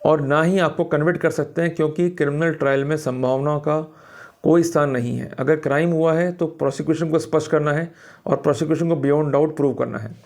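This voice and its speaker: An Indian man giving a didactic, explanatory law lecture, deliberately explaining points as a teacher does. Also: fairly high pitch, fairly even intonation, darkish tone. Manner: didactic, often checks that listeners follow. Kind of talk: explanatory lecture mixing Hindi commentary with English read-outs.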